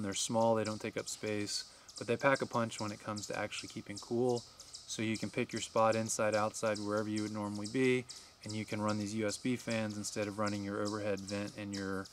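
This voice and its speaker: A man in his forties with a fairly low voice walks through his gear list in a plain, practical way. He sits outdoors beside a camper at a wooded campsite, and a faint outdoor hush lies under his words. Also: slight background noise. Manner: steady, practical explainer, listing tips. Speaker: RV travel vlogger. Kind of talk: instructional vlog to camera.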